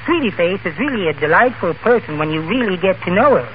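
A man's voice: sits high, lively intonation, fast talker. A meek henpecked little man. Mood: timid. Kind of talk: 1940s radio comedy acting. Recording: noisy phone-like old radio recording.

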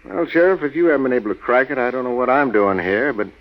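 An actor playing a cool, wry private investigator in a 1940s radio drama, terse and American. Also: male voice, medium pitch, lively intonation, quick pace, close to an everyday voice. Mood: businesslike. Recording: dull, noisy old radio recording.

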